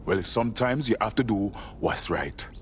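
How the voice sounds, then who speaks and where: Animated, earnest resistance recruit. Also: male voice, on the low side, moderate pace, a little hiss or hum. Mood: resolved. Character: ordinary male new resistance recruit, earnest and willing. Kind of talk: video game voice acting.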